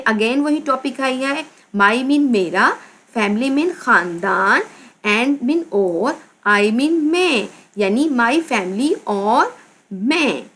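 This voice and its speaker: A woman teacher from Pakistan with a Pakistani accent, slowly reading a children's English textbook aloud and explaining each word. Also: a little high, a bit bright. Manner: addresses pupils as "beta". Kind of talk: slow textbook lesson with translation.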